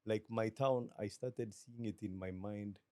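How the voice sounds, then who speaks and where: A middle-aged Kenyan man with a Kenyan accent, a business guest talking in a measured, reflective way and slipping into Swahili. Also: lowish voice, steady tone, warm tone, no room sound, clean studio sound. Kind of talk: relaxed interview talk.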